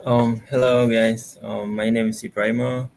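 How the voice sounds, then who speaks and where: A man's voice: mid-range voice, flat delivery, moderate pace, darkish tone, a little hiss or hum. A young man from the Gambia, a university student, introducing himself informally and correcting himself. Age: young adult.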